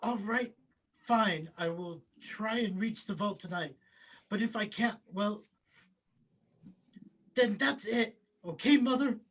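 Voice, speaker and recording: A man's voice: sits high, slow pace, pauses often, a bit bright, heavily acted. A man acting a browbeaten grown son, whining and hesitant, in a table read of a film script. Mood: reluctant, resigned. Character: whiny, browbeaten grown son, reluctant schemer.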